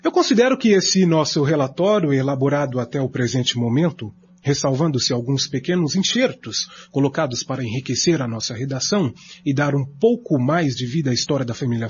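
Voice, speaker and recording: An actor playing a courteous, methodical researcher in a radio drama, speaking formally with a Brazilian accent. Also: male voice, on the high side, lightly acted. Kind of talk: scripted radio drama acting. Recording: dull lo-fi audio with faint hum.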